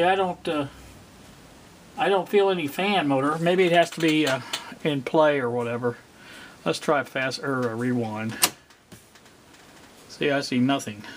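A middle-aged man giving a casual, unscripted demonstration, halting and rambling as he thinks aloud. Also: a little high, noisy background. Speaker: hobbyist presenter. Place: indoor home workspace.

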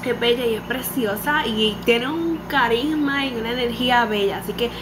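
Puerto rican girl, 19, chatty webcam vlog, high voice, lots of background hiss. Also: normal speed. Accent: Puerto Rican Spanish. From Puerto Rico. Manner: bubbly, animated, mixes English words into her Spanish. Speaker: beauty vlogger. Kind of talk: casual chat to camera. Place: small room indoors. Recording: home webcam recording with background noise.

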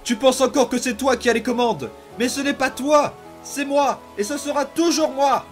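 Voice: high voice, moderate pace, soft background music, lightly acted. An actor voicing a vicious, obsessive young demon boy in a dramatic comic dub, taunting and theatrical. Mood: menacing. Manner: menacing, taunting, theatrical outbursts and mutters.

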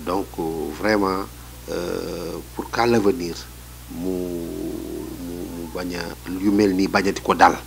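A middle-aged Senegalese man answering a street interview indoors, measured and hesitant with many fillers. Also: low voice, gravelly. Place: indoors in a small room.

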